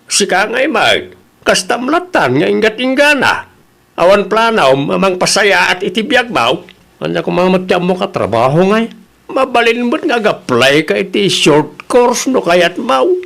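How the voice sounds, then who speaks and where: High, creaky, earnest young man, voiced by an actor. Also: fairly bright tone, exaggerated voice. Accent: Ilocano. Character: earnest young jobless man eager to work. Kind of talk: Ilocano radio drama acting.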